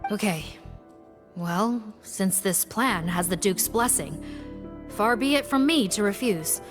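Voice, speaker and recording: A dutiful game officer with an average-pitched voice, formal and careful. Music is clearly heard behind her. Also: animated, slow pace. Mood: brisk, businesslike. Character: dutiful, formal, cautious female officer leading a team. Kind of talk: scripted video game dialogue.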